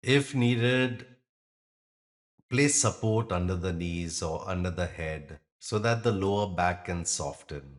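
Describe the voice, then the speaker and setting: Slow, low-voiced man guiding meditation. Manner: gentle, soothing, measured delivery with pauses. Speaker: yoga nidra meditation guide. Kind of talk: guided meditation.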